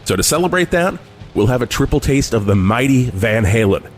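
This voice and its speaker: A man playing a deadpan classic rock radio DJ, delivering showy patter in a parody radio shift. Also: mid-range voice, brisk, natural voice. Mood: deadpan, upbeat. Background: music behind the voice.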